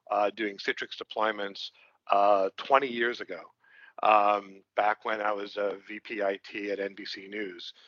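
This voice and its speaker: A man's voice: on the low side, a bit bright, no room sound, highs cut off. A man from Brooklyn with a Brooklyn accent, a company co-founder explaining his work in a casual interview, full of fillers and restarts. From Brooklyn, New York. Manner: rambling, lots of fillers and restarts.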